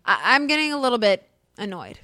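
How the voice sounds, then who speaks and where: A woman's voice: a little high, fast talker, no echo, studio quality. A woman co-hosting a podcast, chatting and gossiping casually about celebrity news. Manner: chatty, gossipy, animated asides. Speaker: podcast co-host. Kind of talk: casual chat.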